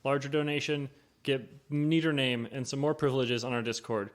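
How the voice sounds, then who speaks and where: A man's voice: medium pitch, little pitch movement, normal speed. A man co-hosting an informal tabletop roleplaying podcast, talking bluntly with dry humour. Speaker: podcast co-host. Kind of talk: casual chat about tabletop roleplaying.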